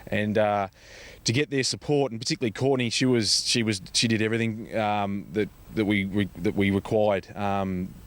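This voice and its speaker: A man with an Australian accent answering interview questions in a halting, conversational way, often repeating words. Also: on the low side, fairly even intonation, no echo. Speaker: charity foundation representative being interviewed.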